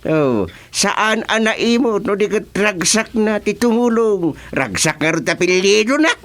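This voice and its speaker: A man's voice: high-pitched, expressive, measured pace, a bit bright, soft background music. A man with an Ilocano accent playing a wry, exclaiming village chairman in a radio comedy drama. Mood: wry disbelief.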